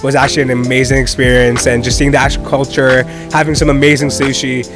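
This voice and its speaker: A young student from Canada talks casually in an interview. He speaks quickly at a medium pitch, and music plays clearly behind his voice. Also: little pitch movement. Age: young adult. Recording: music over the voice. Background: background music.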